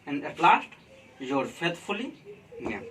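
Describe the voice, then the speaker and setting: Man, Indian accent, teacher, slightly high voice, distant mic, faint background ambience. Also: very animated, fairly bright tone, slight background noise. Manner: slow and deliberate, keeps checking in with "okay?". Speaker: college teacher. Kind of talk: classroom lesson on letter writing.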